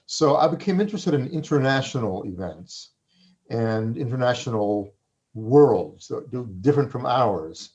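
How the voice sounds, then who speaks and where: A man whose first language was Farsi, talking as an interviewed author. He speaks reflectively and often pauses to restart his sentences. The recording sounds a little dull and lo-fi. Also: medium pitch, lively intonation, darkish tone.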